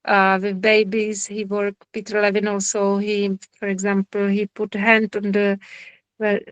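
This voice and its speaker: Slow-talking woman with a Czech accent. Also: medium pitch, completely flat delivery, no echo, highs cut off. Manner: halting, searching for words, friendly. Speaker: somatic therapist giving a lecture. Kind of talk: informal online lecture and Q&A. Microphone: video call.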